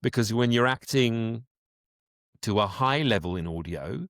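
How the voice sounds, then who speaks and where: A man's voice: medium pitch, animated, unhurried, fairly bright tone, no room sound, studio quality. A man speaking as an interview guest, a voice actor explaining his work in a reflective, conversational way.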